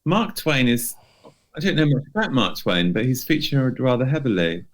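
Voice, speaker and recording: A man's voice: medium pitch, sing-song, normal speed. A man co-hosting a podcast, chatting casually with dry, understated humour. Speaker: podcast co-host. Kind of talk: casual chat.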